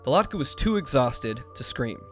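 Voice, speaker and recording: A man giving an expressive, dramatic storybook narration. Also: mid-range voice, normal speed, soft background music.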